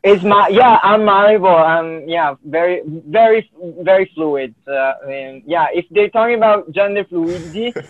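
A man's voice: high voice, normal speed, hardly any pauses. Man on a tinny line, hesitant. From Italy. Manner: hesitant, many restarts and fillers. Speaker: guest. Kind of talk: casual conversation.

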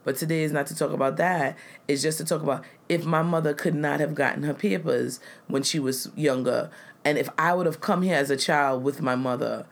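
A man from the United States co-hosting a casual chat, talking in an earnest, emphatic way that gets louder when he makes a point. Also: on the high side, fairly even intonation, brisk, gravelly.

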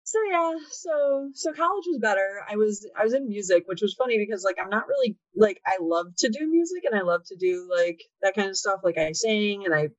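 A woman's voice: mid-range voice, lively intonation, fairly quick, hardly any pauses, no room sound. A woman in her late thirties talking casually as an interview guest, chatty and full of "like" fillers. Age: 30s. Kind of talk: casual conversation. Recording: dull lo-fi sound, dry.

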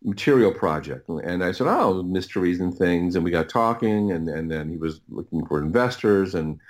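Middle aged guy with a low voice chatting on a podcast, keeps restarting and rambling through anecdotes. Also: expressive. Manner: halting, repetitive, rambling anecdotes with self-interrupted phrases. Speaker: podcast guest, writer and audio producer. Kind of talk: casual interview storytelling.

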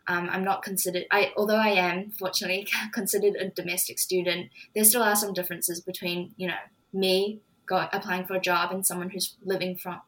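Flat-voiced thoughtful young woman student. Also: fairly low pitch, low-quality recording. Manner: thoughtful, unhurried, frequent ums. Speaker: law student guest. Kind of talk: reflective podcast conversation.

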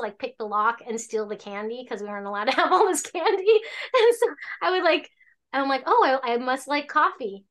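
Chatty woman, somewhat high voice. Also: fairly bright tone. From born in Hong Kong, raised in Alaska. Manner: chatty, rambling, frequent restarts and fillers. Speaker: tea shop owner, guest. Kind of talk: casual interview storytelling.

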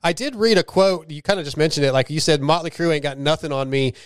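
Host type: chatty man, smooth clear voice, a bit higher-pitched. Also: fast, no room sound, studio quality. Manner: chatty, self-deprecating, laughs often. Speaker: podcast host. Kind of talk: casual podcast conversation.

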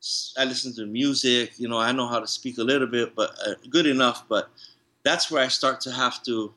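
Male tattoo artist, interview guest, bright tone, easygoing rambling delivery. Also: mid-range voice, brisk. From Hawaii, raised in San Diego. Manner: relaxed, rambling, many restarts and "you know". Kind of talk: casual interview chat.

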